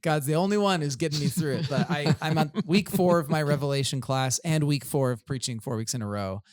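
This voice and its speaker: A man's voice: on the high side, fairly quick, a little mellow. A man who is a pastor and podcast regular, chatting casually in a nerdy, self-deprecating way. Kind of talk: casual church podcast chat.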